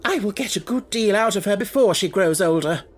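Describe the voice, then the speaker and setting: A man's voice: high-pitched, lively intonation, a little fast, husky, voice pushed up. A man voicing a harsh, imperious, indignant middle-aged headmistress in an audiobook story. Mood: furious.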